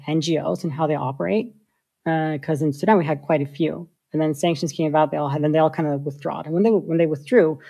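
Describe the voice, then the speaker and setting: A woman, a software engineer, talking through her ideas in a casual interview with lots of filler likes. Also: low-pitched, slightly rough. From United States. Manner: thoughtful, many filler likes.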